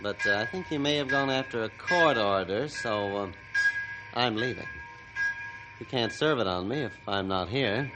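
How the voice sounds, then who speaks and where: A man's voice: medium pitch, moderate pace, over loud music, close to an everyday voice, poor audio. Stubborn, bossy railroad boss, played by a man. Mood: smug, evasive. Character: stubborn, bossy adult male railroad owner. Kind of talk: 1950s radio drama acting. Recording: vintage 1950s radio recording.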